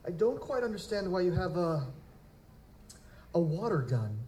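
A man's voice: high voice, very animated, moderate pace, crowd noise. A man playing a timid, polite young nobleman in an audio drama, speaking formally with nervous, faltering stammers. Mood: nervous, puzzled. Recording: roomy, echoing live stage sound.

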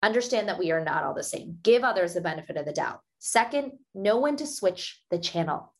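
A woman, an author being interviewed, giving upbeat conversational advice in short brisk points and laughing often as she explains. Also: lowish voice, lively intonation.

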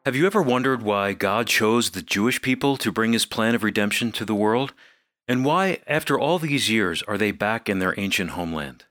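A man's voice: on the low side, expressive, normal speed, fairly bright tone, faint music behind. A man reading scripted promotional narration as a program announcer. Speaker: announcer presenting a book offer.

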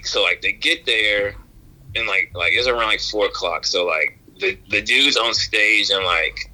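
A man with a lowish but very bright, punchy voice, guesting on a podcast. He tells stories casually, circling back over phrases with slangy, wry humor. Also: low-quality recording. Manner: repetitive, halting, slangy, wry. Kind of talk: casual anecdotal storytelling.

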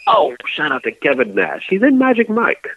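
A man's voice: high voice, huge pitch swings, normal speed, vocal fry, no echo, narrow, tinny audio. A man co-hosting a casual wrestling podcast, joking with theatrical exclamations. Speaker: podcast co-host.

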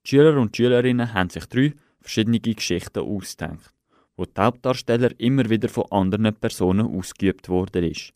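Low-voiced Swiss German radio host, dry sound. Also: male voice, brisk, darkish tone, dry recording. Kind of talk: scripted radio presentation.

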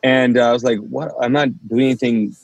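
Animated male storyteller, native urdu speaker. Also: medium pitch, brisk, vocal fry, dry recording. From Kuwait. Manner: animated storyteller, acts out quoted dialogue. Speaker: pastor, interview guest. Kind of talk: casual storytelling.

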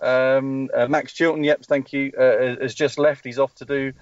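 A man calls in from a race track as a team spokesperson, rambling with plenty of ums and restarts. His voice sits in a middle pitch. The line is dull and lo-fi, with a dry sound. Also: level tone, unhurried, no room sound. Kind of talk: live call-in interview. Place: at a race track testing session.

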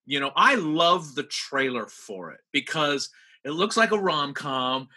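A man hosting a casual interview, talking in an animated, rambling way. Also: on the high side, unhurried. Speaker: podcast host, interviewer.

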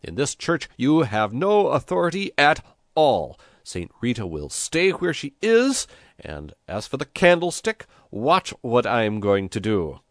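A man voicing a small, stubborn young priest in a storybook, shrill and indignant. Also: wildly swooping pitch, a little slow, no room sound, clean studio sound. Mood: shrill defiance.

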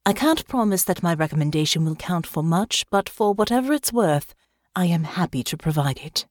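A woman's voice: on the low side, lively intonation, moderate pace, no echo, clean studio sound, lightly acted. A woman voicing a gracious, charming androgynous noble with courtly, flirtatious politeness.

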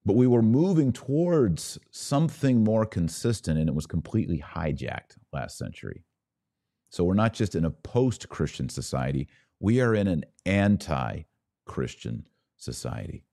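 A man hosting a religious podcast, speaking in earnest exhortation with deliberate repetition, like a preacher addressing his audience. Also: low voice, big pitch swings, mellow.

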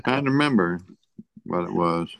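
A man's voice: deep voice, expressive, normal speed, creaky, a bit bright, rough recording. A man co-hosting a podcast, telling anecdotes in casual, offhand chat. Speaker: podcast co-host.

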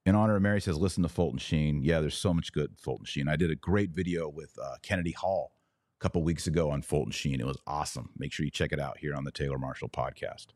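A man's voice: rumbling low, fast, darkish tone, no echo. A man hosting a live religious commentary stream, reading out comments and answering them in an emphatic, preachy way with short repeated declarations. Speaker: podcast host.

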